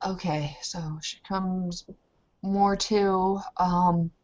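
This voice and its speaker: A woman's voice: lowish voice, steady tone, extremely slow, darkish tone. A woman playing a tabletop roleplaying game, hesitantly describing her character in casual talk, on a muffled recording. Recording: dull, muffled lo-fi sound.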